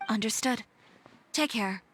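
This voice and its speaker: An actress playing a proud, cool-headed fashion designer who speaks in a curt, self-assured way. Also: female voice, fairly high pitch, a little slow, fairly bright tone, soft background music. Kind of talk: video game voice acting.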